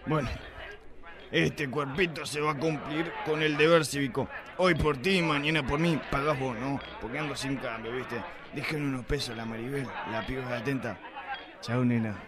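An actor playing a pompous, lecherous middle-aged police inspector in satirical radio theatre, with an Argentine accent and theatrical delivery. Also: male voice, mid-range voice, steady tone, normal speed, over a noisy crowd, lightly acted. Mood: boozy, cocky. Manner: theatrical mock-heroic declaiming.

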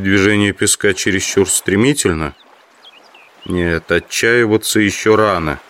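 Obsessive bug collector in an audio play, lowish voice, brooding inner monologue, reflective. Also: male voice, a bit bright, a little hiss or hum. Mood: doubtful, determined. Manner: reflective, musing. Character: brooding, obsessive male insect collector lost in thought. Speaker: actor in an audio drama.

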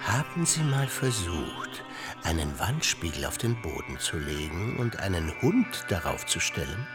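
A man's voice: sits low, very animated, a little slow, full character voice. An actor playing an eccentric, insistent male party guest, probing and theatrical, in a radio play. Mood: knowing, intrigued. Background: music.

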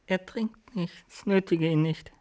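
An actress playing a bossy, tipsy woman presiding over drinks in a radio drama, commanding and drawn-out. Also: fairly low pitch, dark tone. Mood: insistent. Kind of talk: German radio drama acting.

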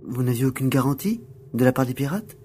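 An actor playing a blunt male starship crewman with a brisk, questioning manner. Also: mid-range voice, lively intonation, dark tone, slight background noise, lightly acted. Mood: probing.

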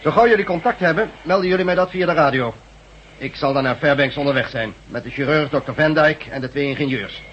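A man playing a gruff, domineering camp chief in a radio drama, speaking in a commanding way. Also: on the high side, close to an everyday voice. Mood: brisk, grim. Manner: commanding, brisk. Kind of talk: Dutch radio drama acting. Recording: dull, very noisy old radio recording.

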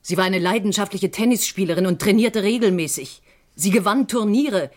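An actress playing a troubled, grieving woman in a German radio crime drama, confiding intensely and deliberately in a husky voice. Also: medium pitch, lightly acted. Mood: insistent, anguished. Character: troubled stage actress, grieving sister convinced of murder.

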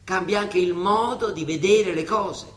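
An actor playing an older monsignor and judge with a Roman accent, halting, colloquial and ironic, in radio theatre style. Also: male voice, sits high, a bit bright, roomy sound. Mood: wry, challenging. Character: old Roman monsignor judge, wry, rambling, quietly defiant.

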